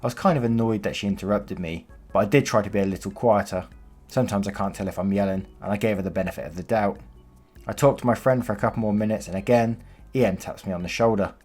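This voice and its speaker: A man with a low voice reads stories aloud in a plain storytelling manner, dropping in casual asides. Soft music plays faintly behind him. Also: fast talker. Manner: plain storytelling with offhand comments. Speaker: narrator of online stories. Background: faint background music.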